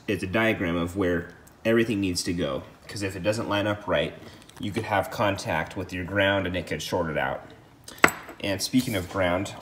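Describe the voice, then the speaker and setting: A man's voice: low-pitched, background noise. A man giving a casual, matter-of-fact how-to narration as host of a DIY video.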